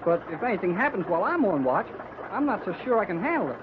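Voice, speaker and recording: A man's voice: high voice, very animated, fast. A man playing an earnest, worried teenage boy aboard a boat in an old-time radio adventure, with an American accent. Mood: earnest, uneasy. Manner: earnest, boyish. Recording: muffled, noisy old radio recording.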